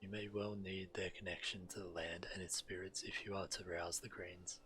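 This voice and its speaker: A man's voice: deep voice, level tone, normal speed, sharp tone, a little hiss or hum. A man who streams games, reading fantasy quest dialogue aloud. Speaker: game streamer. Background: game music.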